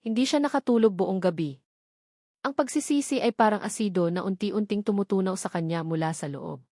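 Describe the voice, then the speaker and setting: A woman's voice: mid-range voice, a little fast, warm tone, no room sound, clean studio sound. A woman narrating a scripted love story in a solemn, suspenseful, dramatic manner.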